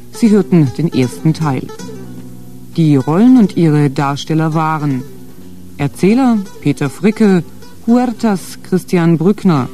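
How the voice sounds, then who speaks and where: A man's voice: sits high, warm tone. A man reading scripted broadcast credits as an announcer, with guitar music behind him.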